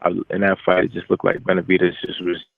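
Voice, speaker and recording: A young man, a boxer, giving casual, halting interview answers with repeated phrase starts over a telephone. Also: sits low, completely flat delivery, gravelly, dry recording. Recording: narrow, tinny phone line.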